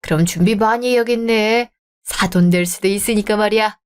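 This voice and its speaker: A performer voicing a gentle, supportive father of the bride in a radio drama, reassuring and kind. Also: high-pitched, fairly even intonation, moderate pace, clear, resonant tone, lightly acted.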